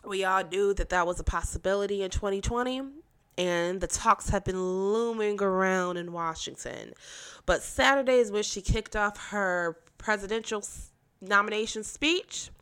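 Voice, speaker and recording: A woman's voice: mid-range voice, normal speed, fairly bright tone, smooth. A young woman hosting a casual political podcast while stuffy and nasal from a cold, talking bluntly and with sass. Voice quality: nasal, congested. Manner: blunt, sassy, emphatic. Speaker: podcast host.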